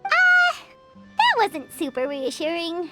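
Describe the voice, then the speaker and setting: A woman voicing a tiny, excitable, childlike fairy companion in a video game, with a squeaky, cute delivery. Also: slow, heavily acted. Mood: dismayed. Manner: cute, talks of self in third person. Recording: music clearly behind the voice.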